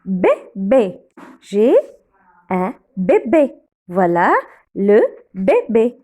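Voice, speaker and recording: A woman, a native Arabic speaker, teaching French accent marks slowly and repeating each word for learners. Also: mid-range voice, very animated, warm tone. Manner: slow, deliberate, repeats words for learners. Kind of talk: slow classroom explanation of French accents.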